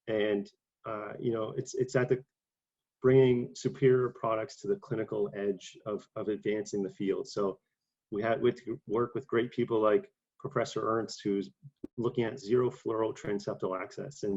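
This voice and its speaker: A young man from Canada giving an online career talk while congested from a cold, speaking haltingly with many fillers and repeated words. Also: on the low side, darkish tone. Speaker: conference speaker. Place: online presentation. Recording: dull lo-fi sound.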